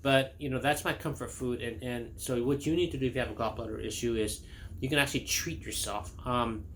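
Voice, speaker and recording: A man's voice: mid-range voice, fairly even intonation, fairly quick, fairly bright tone, slight background noise. A middle-aged man from Indonesia, a chiropractor, giving a casual health talk to camera. He rambles with many fillers, restarts and personal stories.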